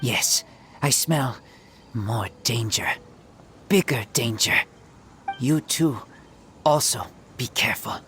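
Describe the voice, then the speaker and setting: Wary feral youth, very deep for female, slow, terse broken phrasing, earnest, video game, faint music. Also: fairly bright tone, exaggerated voice. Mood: wary, concerned. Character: earnest wild wolf-raised youth, wary, simple halting speech.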